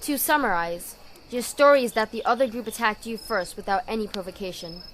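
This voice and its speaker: A woman's voice: mid-range voice, fairly quick, a bit bright, lightly acted. A woman playing a composed officer in an audio drama, questioning in a formal, polite, businesslike way. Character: composed, polite female officer taking statements. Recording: clear background noise, crickets.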